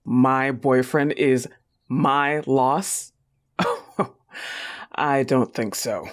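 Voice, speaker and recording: A man voicing a possessive but tender young goth wolf girlfriend, soft-spoken, in an intimate audio roleplay. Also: mid-range voice, very slow. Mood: possessive, irritated.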